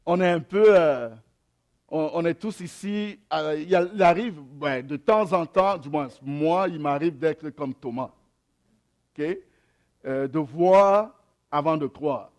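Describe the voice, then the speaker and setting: A young adult man, a pastor whose first language is an African mother tongue, reads scripture slowly and then preaches with hesitations and repeated phrases. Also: fairly high pitch. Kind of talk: sermon.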